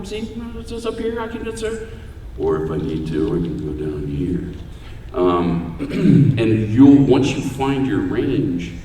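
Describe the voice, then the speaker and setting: A deep-voiced man talks to a convention crowd in an easy, joking way. His voice sits low, and it rings through a big echoey hall. He sounds set back from the microphone. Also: warm tone, slight background noise. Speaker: voice actor giving a panel. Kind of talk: convention panel talk. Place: large convention hall room. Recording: echoey hall, voice far from mic.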